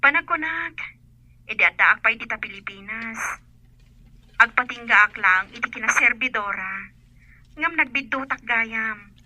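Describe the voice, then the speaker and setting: A bright, high-voiced daughter on the phone. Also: female voice, lively intonation, moderate pace, pauses often, heavily acted. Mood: grateful, moved. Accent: Ilocano. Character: grateful young daughter calling from abroad. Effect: telephone filter. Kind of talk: Ilocano radio drama acting. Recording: thin, band-limited phone-call sound.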